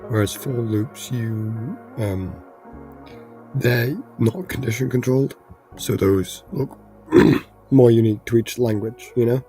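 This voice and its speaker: A man with a cold, his voice hoarse and congested, explaining programming in a rambling casual tutorial. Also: medium pitch, slow pace, dark tone, faint music behind. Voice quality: gravelly, congested. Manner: rambling, restarts with fillers.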